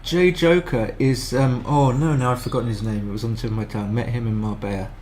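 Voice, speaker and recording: A man's voice: mid-range voice, fairly even intonation, quick pace, a little mellow, slight background noise. A man giving casual live commentary on a match as a streamer, chatty and thinking aloud with frequent restarts.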